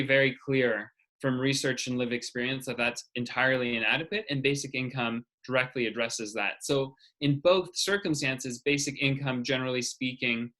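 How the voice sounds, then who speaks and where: Young man, bright forceful voice, articulate, structured argument, video call. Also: mid-range voice. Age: young adult. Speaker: youth network presenter.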